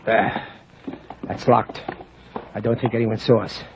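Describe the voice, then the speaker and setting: A man playing a gruff, wisecracking small-time crook in an old radio play, talking in brusque tough-guy slang. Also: lowish voice, little pitch movement, measured pace, noisy background, close to an everyday voice. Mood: brusque, weary. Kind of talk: 1940s radio acting. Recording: muffled old radio recording.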